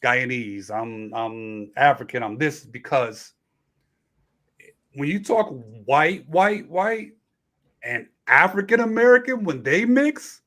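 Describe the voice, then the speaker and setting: A man's voice: fairly high pitch, lively intonation, takes it slowly, pushed and bright. A man hosting a casual late-night panel discussion, explaining at length and restarting his sentences. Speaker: host.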